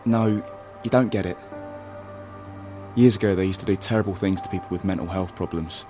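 Man playing an earnest, low-voiced rebel guide. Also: fairly quick, lightly acted. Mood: grave, insistent. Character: earnest, urgent male rebel guide, nerdy and protective. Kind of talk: audio drama acting. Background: music.

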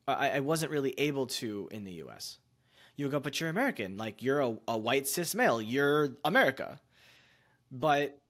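Guy hosting a podcast, thinking out loud, lots of likes and you knows, mid-pitched voice. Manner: reflective, musing, with loose fillers like "like" and "you know". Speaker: podcast host. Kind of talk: casual conversational chat.

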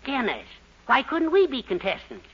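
An actress playing a bossy, persuasive schemer with theatrical, emphatic delivery in a 1940s radio sitcom. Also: female voice, sits high, huge pitch swings, moderate pace, breathy edge, full character voice. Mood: scheming, persuasive. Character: bossy, scheming partner with grand showmanship. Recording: dull, noisy 1940s radio recording.

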